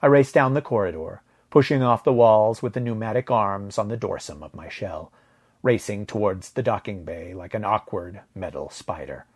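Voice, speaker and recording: Husky, low-voiced male story narrator. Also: normal speed. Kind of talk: scripted narration of first-person science fiction.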